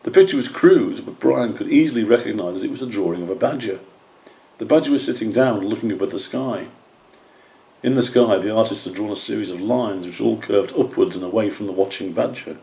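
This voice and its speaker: A man's voice: on the low side, brisk, creaky, mellow, reverberant, rough recording. A man reading a scripted children's animal story as its narrator. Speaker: author or story reader.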